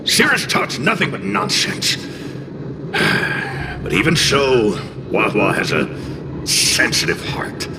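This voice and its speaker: A man playing a cocky, hot-tempered, gluttonous fire spirit in a video game, gruff and blustering. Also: lowish voice, wildly swooping pitch, slow pace, pauses often, background noise, full character voice. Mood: grumpy, irritated. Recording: music behind the voice.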